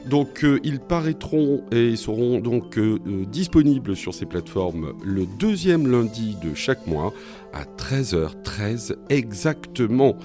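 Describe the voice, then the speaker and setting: A man's voice: medium pitch, lively intonation, slow, over music. A man hosting a podcast, closing the episode in a formal manner with many hesitant fillers. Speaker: podcast host. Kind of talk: podcast wrap-up.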